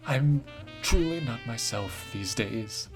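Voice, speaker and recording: A man's voice: medium pitch, expressive, slow pace, over music. A man voicing a tormented old homeless woman, strained and erratic, swinging between pleading and shrieking. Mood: motherly.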